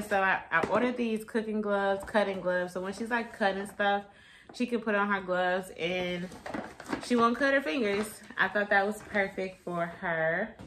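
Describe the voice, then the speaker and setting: A young woman chatting casually and informally to camera, her voice a little raspy and tired. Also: medium pitch, a bit bright, slight background noise. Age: young adult. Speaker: vlogger. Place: small room at home, indoors.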